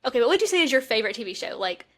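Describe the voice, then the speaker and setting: Young female podcast co-host, slightly high voice, informal conversational delivery. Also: very animated, rapid-fire. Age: young adult. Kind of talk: casual chat.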